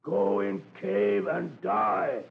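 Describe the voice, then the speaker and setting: An actor playing an ominous cave guide in a radio drama, speaking halting, clipped broken English in a gravelly voice. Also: male voice, high voice, very animated, heavily acted. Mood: stubborn menace. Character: ominous male cave guide warning strangers away. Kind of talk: 1950s radio drama acting. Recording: tinny, noisy old radio recording.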